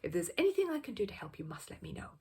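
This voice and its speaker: A woman's voice: medium pitch, big pitch swings, racing, a little mellow, a little hiss or hum. A woman storyteller voicing a kindly, courteous elderly man who keeps an antique shop, speaking earnestly. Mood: pleased, encouraging.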